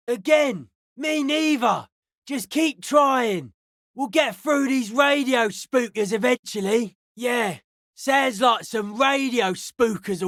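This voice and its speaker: An actor playing a slangy, dry and intense young radio tinkerer from an underground music scene, speaking in clipped phrases for a video game. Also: male voice, very high-pitched, fairly bright tone, no room sound, full character voice. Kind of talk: video game character voice lines.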